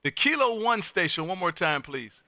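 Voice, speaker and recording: A man's voice: high-pitched, very animated, moderate pace, noisy background. An American man hosting a live ham radio stream, folksy and exuberant, repeating his phrases as he chats on air. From Texas, United States. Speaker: amateur radio operator and livestream host.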